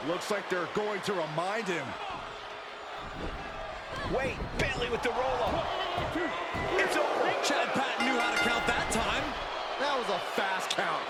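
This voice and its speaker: A man playing an excitable pro wrestling announcer, calling the action play-by-play in a video game. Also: very high-pitched. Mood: excited. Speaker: wrestling commentator. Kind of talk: excited play-by-play sports commentary. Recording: loud arena noise behind. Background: wrestling arena ambience.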